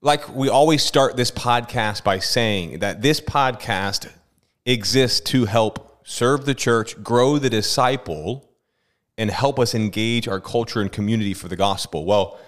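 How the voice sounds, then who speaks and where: A man hosting a church podcast as a pastor, chatty and quick, often stumbling and restarting mid-thought. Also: mid-range voice.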